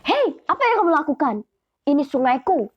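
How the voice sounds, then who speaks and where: A woman voicing a vain, bossy, hot-tempered giraffe in a children's story, scornful and full of loud exclamations. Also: high voice, sing-song, fairly quick, highs cut off, voice pushed up. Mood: angry.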